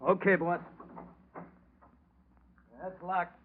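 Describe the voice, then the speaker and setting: An actor playing a slow-witted, loyal ex-wrestler bodyguard in a 1940s radio crime drama, slangy and plain-spoken. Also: male voice, high voice, little pitch movement, full character voice. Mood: baffled. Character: dim, loyal male thug bodyguard, ex-wrestler. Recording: noisy, tinny old radio recording.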